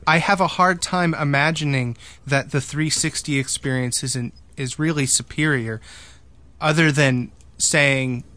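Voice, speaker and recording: A man's voice: a little high, fairly even intonation, measured pace, sharp tone. A man debating on a casual gaming podcast, making his points insistently and restarting phrases. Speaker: podcast host.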